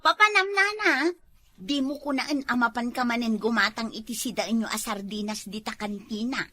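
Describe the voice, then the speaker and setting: Woman playing gossipy ilocano wife, village comedy, high bright voice, kinda cheeky. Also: normal speed. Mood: teasing, gossipy. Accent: Ilocano, northern Philippines. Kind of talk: comedy drama acting.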